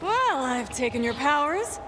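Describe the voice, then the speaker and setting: A woman's voice: sits high, big pitch swings, measured pace. A woman acting a cocky young cult-leader villain in a video game, taunting and theatrical like a showy streamer. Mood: menacing, gloating. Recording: loud music behind the voice.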